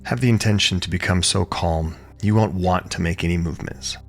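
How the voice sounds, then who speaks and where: A man with a low voice leads a guided yoga meditation. He is calm and soothing, giving gentle instructions in measured phrases with space between them. Faint music plays behind his voice. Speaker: yoga and meditation teacher leading a guided practice.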